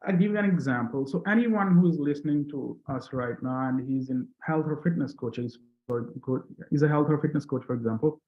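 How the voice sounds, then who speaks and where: A man who speaks English as a non-native language, telling his coaching results earnestly with halting restarts. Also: medium pitch. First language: not English. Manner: earnest, halting restarts, rising on key numbers. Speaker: coaching client, interview guest. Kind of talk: testimonial video call chat. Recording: echoey room over a tinny call line.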